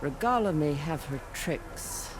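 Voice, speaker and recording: Military chaplain for a game: higher male voice, slow pace, firm, formal, resolute. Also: animated, very noisy. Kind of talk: video game dramatic acting.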